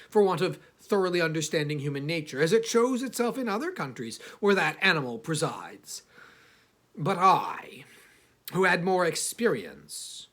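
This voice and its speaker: A haughty, misanthropic older gentleman traveller, voiced by a male reader, recounts his memoir in formal literary narration. His pitch rises and falls widely, and he halts theatrically, leaning on chosen words with emphatic pauses. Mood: haughty, pompous. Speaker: audiobook reader. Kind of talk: dramatic first-person literary narration.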